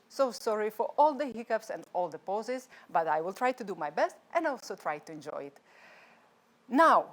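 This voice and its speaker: A woman from Lithuania with a Lithuanian accent, a non-native English speaker hosting a live-streamed conference from a studio in a chatty way, with some restarts. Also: high-pitched. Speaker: conference host.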